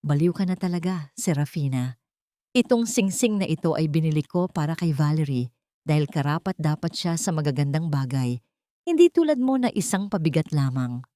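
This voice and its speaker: A woman's voice: deep voice, lively intonation, normal speed, warm tone, no echo, clean studio sound. Woman voicing an angry, scornful husband. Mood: furious, scornful. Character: cruel, furious husband, contemptuous bully.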